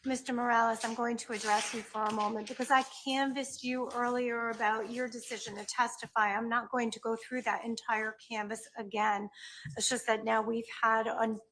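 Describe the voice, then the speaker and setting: Woman judge running court, a bit high pitched, measured and polite, faint hiss behind. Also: little pitch movement, fairly bright tone, rough recording. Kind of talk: courtroom proceedings.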